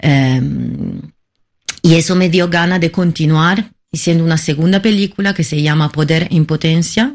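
A woman from Italy, a filmmaker who is not a Spanish speaker, answering interview questions in a halting way, often searching for words and restarting. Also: deep voice, a little mellow. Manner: halting, searching for words, many repeated starts. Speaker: documentary filmmaker, interview guest.

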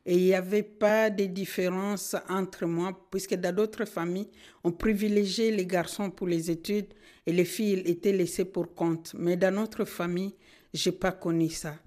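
A middle-aged woman from Congo, a Kinande speaker, answering in a radio interview in an earnest, measured way. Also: fairly low pitch, steady tone, mellow. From Democratic Republic of the Congo. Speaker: social worker and guest.

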